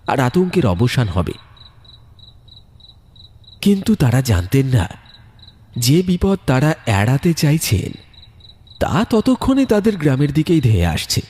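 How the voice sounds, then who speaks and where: Husky, animated male storyteller. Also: on the high side. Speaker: narrator. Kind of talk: dramatic scripted horror story narration.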